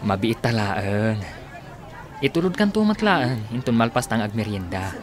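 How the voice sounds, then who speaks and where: A man's voice: mid-range voice, animated, moderate pace, a crowd in the background, close to an everyday voice. A man with an Ilocano accent playing a lovelorn, persistent family friend in a radio drama. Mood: coaxing.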